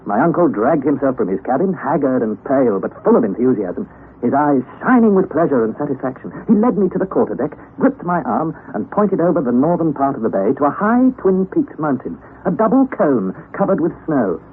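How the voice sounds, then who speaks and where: An actor playing a sensible young nephew in an old-time radio adventure, speaking in an articulate, earnest, theatrical way. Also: male voice, medium pitch, big pitch swings, fairly quick, natural voice. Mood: measured storytelling. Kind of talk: old-time radio drama acting and narration. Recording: tinny, noisy old radio broadcast recording.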